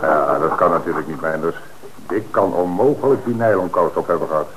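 An actor playing an authoritative police commissioner in an old radio play. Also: male voice, sits low, fairly quick, slight background noise, lightly acted, low-quality recording. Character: middle-aged male police commissioner in charge.

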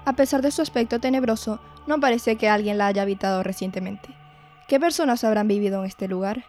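A woman's voice: mid-range voice, brisk, a little mellow, faint music behind, natural voice. A woman playing a determined young leader of a paranormal investigation in a horror audio drama, composed and assertive like a presenter. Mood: curious.

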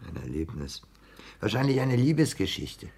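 An actor playing a frail, exalted, lovesick barber in a 1960s German radio play, aggrieved and prone to passion. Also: male voice, medium pitch, very animated, normal speed, a little mellow, husky. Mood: gloomy, insistent. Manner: aggrieved, brooding, flares into passion.

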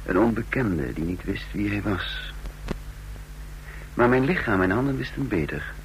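An actor playing a bewildered fugitive with no memory, brooding and halting as he tells his story in a radio drama. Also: lowish voice, animated. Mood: brooding, suspenseful. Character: bewildered amnesiac man, escaped condemned prisoner, telling his story. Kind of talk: radio drama acting, brooding first-person narration. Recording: noisy, humming old radio drama recording.

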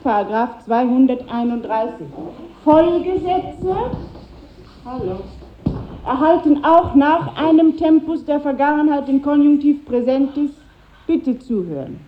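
An actress playing a married Latin teacher, wistful yet strict and didactic, in a 1950s German radio drama. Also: female voice, sits high, animated, a little slow, noisy background. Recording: echoey, phone-like vintage radio recording.

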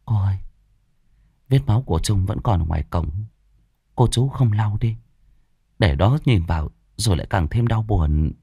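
A man's voice: fairly low pitch, expressive, normal speed, pauses often, breathy edge, dry recording, dull audio. A guarded young woman in an audio horror story, read by a man. The delivery is reserved and courteous, recorded dry with no room around it. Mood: gently concerned. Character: young woman, guarded, polite to elders, suspicious.

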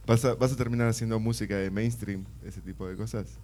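A man with a Rioplatense Argentine accent working as a radio interviewer, asking conversational questions in a tentative, halting way. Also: on the low side, steady tone, a little mellow.

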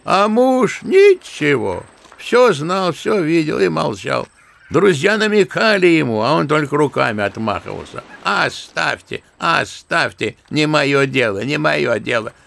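An actor playing an old retired general in an audio drama, telling stories in a lively, scornful way. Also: male voice, a little high, a little slow, gravelly, exaggerated voice. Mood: indignant. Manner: lively raconteur, scornful asides.

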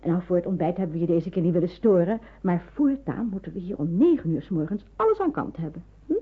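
An actress in a Dutch radio drama playing a watchful, practical nurse in hiding, explaining and cautioning. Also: female voice, fairly low pitch, animated, fast, breathy edge. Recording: narrow phone-like old radio recording.

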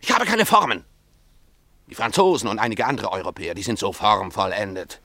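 Radio drama lead: lovesick young Russian, brooding, proud and self-abasing, husky low voice. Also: male voice, wildly swooping pitch, a little fast, sharp tone, dry recording, clean studio sound, full character voice. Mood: agitated, bitter. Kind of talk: German radio play acting.

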